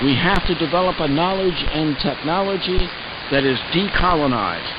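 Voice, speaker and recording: A man reading scripted expository prose in a measured, formal way, as a narrator of articles. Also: on the high side, lively intonation. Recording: dull, hissy shortwave radio reception.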